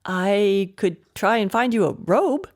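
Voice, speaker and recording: Earnest nurse: polite, hesitant, animated pitch, for a comic audio drama. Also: female voice, slow pace. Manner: prim, courteous, hesitant. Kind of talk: comedy audio drama acting.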